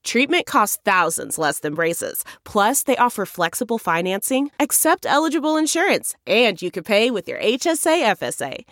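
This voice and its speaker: A woman's voice: a little high, big pitch swings, normal speed, hardly any pauses, fairly bright tone, dry recording, clean studio sound. A woman announcer delivering a scripted commercial. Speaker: advertisement announcer.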